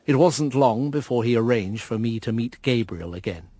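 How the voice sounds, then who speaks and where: A man's voice: medium pitch, animated, moderate pace, dark tone, dry recording, natural voice. An actor in a radio drama narrating as a dry, sardonic man, recalling his past. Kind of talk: scripted first-person drama narration.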